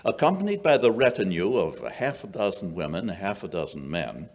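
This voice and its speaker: An older man acting a shrewd, dry-witted gentleman detective in old-time radio style, with measured, theatrical storytelling. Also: low voice, sing-song, full character voice. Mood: wry storytelling. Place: small indoor stage before an audience. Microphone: vintage-style stand microphone.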